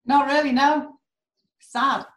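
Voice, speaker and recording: A British woman telling candid stories in an interview, animated and quick to laugh. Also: high voice, echoey room. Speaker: core facility director. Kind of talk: candid conversational storytelling.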